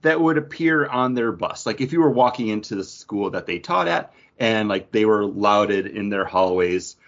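Deep-voiced, animated quiz host. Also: male voice, moderate pace. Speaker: podcast host running a trivia quiz. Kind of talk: casual chat, quizmaster reading questions. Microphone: online voice call. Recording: dull lo-fi voice call.

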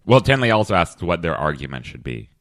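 A man hosting a comedy podcast, talking in a dry, halting way full of fillers. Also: sits low, sing-song, fairly bright tone, dry recording. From Kentucky. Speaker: podcast host. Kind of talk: deadpan comedic podcast chat.

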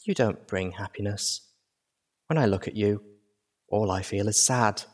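A man voicing a gentle adult mare healer who speaks slowly, calmly and sadly. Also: lowish voice. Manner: slow, calm and gentle.

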